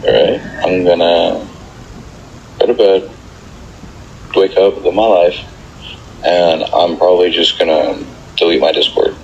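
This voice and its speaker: A man's voice: low voice, steady tone, slow pace, pauses often. A man talking casually on a lo-fi online voice call. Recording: dull lo-fi voice call, faint noise.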